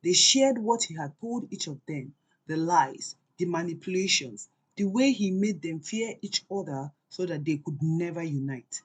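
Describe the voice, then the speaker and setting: A woman narrating a scripted folktale as a storyteller, in a deliberate, dramatic way with pauses. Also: on the low side, lively intonation, husky, poor audio.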